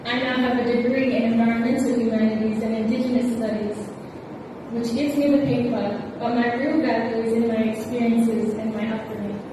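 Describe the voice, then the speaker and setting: A woman's voice: fairly high pitch, fairly even intonation. Earnest young woman, echoey hall. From Samoa. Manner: measured, earnest speechmaking. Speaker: pageant contestant. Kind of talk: prepared speech, then answering a panel. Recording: echoey hall, from far off, noisy.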